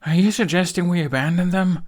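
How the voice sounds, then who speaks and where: A man performs a stubborn young Viking who rides dragons in a fantasy audiobook. His voice is raised high and sounds strained, breathless and exasperated, pushed well above narration level. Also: fairly quick, a little mellow. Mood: indignant.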